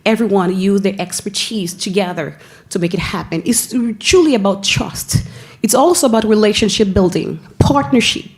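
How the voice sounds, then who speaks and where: A woman from Haiti speaks with a Haitian accent at a school board meeting. Her delivery is earnest and halting, and she pauses often to find the right word. Her pitch sits in the ordinary middle range for a woman. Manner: earnest, with frequent pauses and restarts. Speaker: school family engagement staff member.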